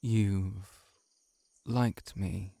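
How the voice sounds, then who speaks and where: A man playing a shy, heartbroken friend in an intimate audio roleplay, confiding haltingly to the listener. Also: deep voice, steady tone, creaky, dark tone, dry recording, full character voice. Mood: tentative, tender. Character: shapeshifting monster posing as a shy, heartbroken male friend.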